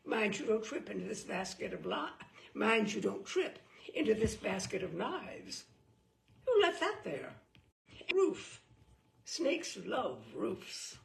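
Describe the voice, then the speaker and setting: A batty old lord of a crumbling manor, played by a woman storyteller, gushing and insistent with his hospitality. The delivery swoops widely in pitch, with creaky edges and a roomy echo around it. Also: big pitch swings, slow pace, slight background noise, heavily acted. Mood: gleeful, distracted. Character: delighted, batty old patriarch of a decaying manor, male.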